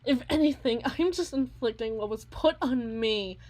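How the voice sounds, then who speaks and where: A woman's voice: a little high, moderate pace, darkish tone, lightly acted. A woman playing a bitter, unhinged, anguished wife in a dramatic ASMR roleplay monologue, theatrical and moving between whispers and intense outbursts. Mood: bitter, defiant.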